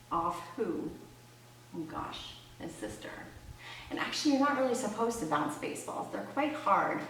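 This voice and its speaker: A woman presenting read-aloud storytelling and teaching, expressive and conversational as she addresses her listeners. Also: fairly low pitch, slight background noise. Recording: roomy echo, distant microphone.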